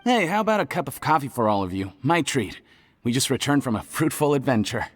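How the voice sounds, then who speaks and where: Cheerful dream buddy for a game: breathy, very lively intonation, long hall reverb. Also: male voice, medium pitch, fairly quick. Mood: jovial. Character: easygoing cheerful male friend from a dream. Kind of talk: video game voice acting.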